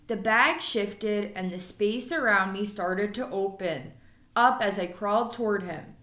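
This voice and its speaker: A woman's voice: medium pitch, unhurried, fairly bright tone. A woman reading a children's story aloud expressively, like an elementary school teacher. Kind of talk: storybook read-aloud narration. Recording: echoey room.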